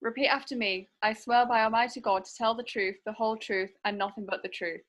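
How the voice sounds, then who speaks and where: A woman's voice: a little high, fairly even intonation, brisk, fairly bright tone, natural voice. A woman playing an officious court clerk in a radio play, formal and commanding. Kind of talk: comic radio play acting.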